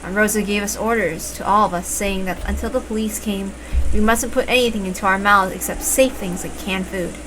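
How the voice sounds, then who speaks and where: A woman reading a visual novel aloud as expressive first-person story narration, with emphatic openings to phrases. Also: mid-range voice, a little fast. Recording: noticeable background noise.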